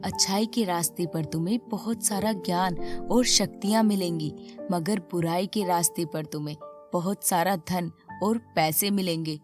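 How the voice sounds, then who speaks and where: Woman as a solemn low-voiced cartoon sorceress. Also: normal speed, over music, close to an everyday voice. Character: magical sorceress offering a choice of paths. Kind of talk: animated children's moral story.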